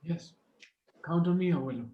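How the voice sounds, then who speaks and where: Man playing a high-voiced young street tough, echoey. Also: normal speed, muffled recording, a little hiss or hum, lightly acted. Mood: ashamed, meek. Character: sullen, defiant young street tough, a grandson. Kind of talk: screenplay table read. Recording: roomy, echoey sound.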